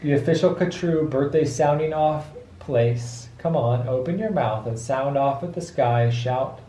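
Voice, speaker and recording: A man, a father reading a rhyming picture book aloud to a child in a playful, expressive way. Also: medium pitch, measured pace. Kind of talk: storybook read-aloud in rhyme. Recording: echoey room with light background noise.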